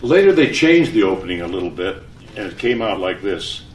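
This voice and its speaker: Older man, lowish voice, in an echoey room. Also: very animated, brisk. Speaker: retired radio announcer speaking to residents. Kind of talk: live talk with anecdotes and reminiscence. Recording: echoey room, distant mic, faint noise.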